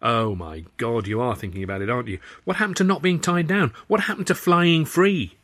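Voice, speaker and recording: A man's voice: medium pitch, a little fast, fairly bright tone, natural voice. A man voicing a lovesick young Englishman in an audiobook, urgent, pleading and insistent.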